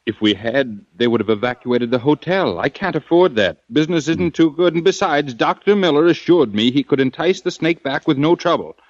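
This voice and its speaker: An actor playing a worried, pleading hotel manager in an old-time radio drama, speaking with fretful urgency. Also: male voice, a little high, expressive, fairly quick, hardly any pauses, dry recording, lightly acted. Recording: dull, dry vintage radio recording.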